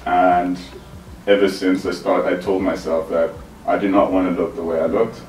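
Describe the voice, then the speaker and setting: A young man chatting casually on a sofa, relaxed and talkative, often tagging "you know" and "right". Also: sits low, moderate pace. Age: young adult. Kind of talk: casual chat. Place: living room with bookshelf, indoors. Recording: roomy, distant, faint room hiss.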